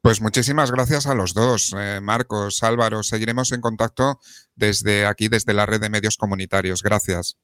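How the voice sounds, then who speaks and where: Low-voiced man hosting radio, close and dry. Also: steady tone, normal speed, a bit bright, smooth, no room sound. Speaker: radio co-host.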